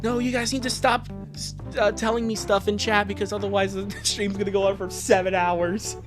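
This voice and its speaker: A man's voice: high-pitched, flat delivery, brisk. Sarcastic male streamer over music. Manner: sarcastic, swearing. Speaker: streamer reading fan fiction aloud. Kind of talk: casual live-stream chat.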